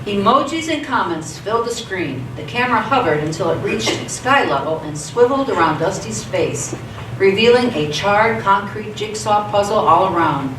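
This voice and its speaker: A woman narrates a radio theatre story from a script in a mid-pitched voice. She sounds set back from the mic in an echoey space, with clear background noise. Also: recorded from a distance.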